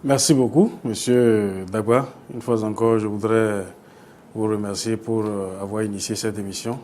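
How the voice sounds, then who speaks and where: Mellow, low-voiced Beninese man explaining haltingly. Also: fairly even intonation. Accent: Beninese French. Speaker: expert guest. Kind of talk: explanatory interview answers. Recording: clear background noise.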